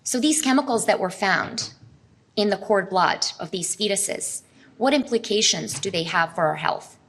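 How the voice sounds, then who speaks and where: A middle-aged woman presents to a live audience, earnest and explanatory. Her pitch sits at an ordinary middle height for a woman, and she now and then turns to ask the crowd a question. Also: expressive, normal speed, a bit bright, breathy edge. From Serbia. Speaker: speaker at a health expo.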